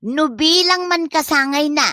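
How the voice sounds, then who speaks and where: A woman's voice: high voice, measured pace, bright, forceful tone, no room sound, clean studio sound. A woman playing a suspicious, nagging wife in an Ilocano comedy drama, scolding and exclaiming. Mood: exasperated scolding. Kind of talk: comedy radio-style drama acting.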